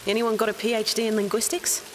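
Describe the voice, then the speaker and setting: A woman playing a cool, sharp-tongued and sceptical treasure hunter in an audio drama, with sardonic delivery. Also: fairly high pitch, little pitch movement, fast talker, noisy background, close to an everyday voice.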